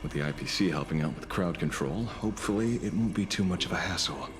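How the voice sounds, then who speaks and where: An actor playing a grave, pragmatic hero's companion with a serious, measured delivery in an anime game. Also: male voice, sits low, lively intonation. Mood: resolute. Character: grave, pragmatic adult male hero companion. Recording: loud music behind.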